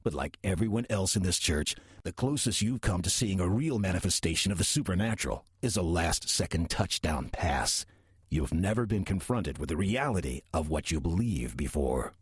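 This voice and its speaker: An actor playing a weary, sardonic demon posing as a church deacon in an audio drama, dry, urbane and condescending. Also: male voice, expressive, normal speed, no room sound, heavily acted. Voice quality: husky, low voice. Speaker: narrator and lead character of audio drama. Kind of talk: audio horror-comedy drama acting.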